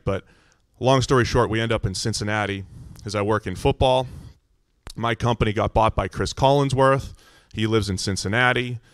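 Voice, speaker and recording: Middle aged american guy, big booming lowish voice, chatty church testimony, pretty dry sound. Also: dry recording. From Massachusetts, United States. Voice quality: loud, booming voice. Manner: informal, conversational storytelling. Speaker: visiting church speaker. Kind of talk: personal testimony to a congregation.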